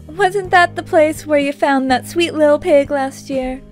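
A woman's voice: high-pitched, a little slow, soft background music. A woman playing a playful, teasing young twin sister in a cartoon dub. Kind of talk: cartoon comic dub acting.

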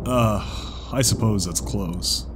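A man voicing a reserved, awkward zebra wanderer in an audiobook drama, hesitant and measured. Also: fairly low pitch, background noise. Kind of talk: audiobook character acting. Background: music.

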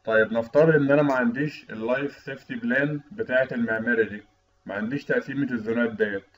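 A man with an Egyptian Arabic accent giving an explanatory technical lecture, teaching didactically as an instructor. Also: mid-range voice. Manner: didactic, asks and answers own questions. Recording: dull, lo-fi voice-over recording.

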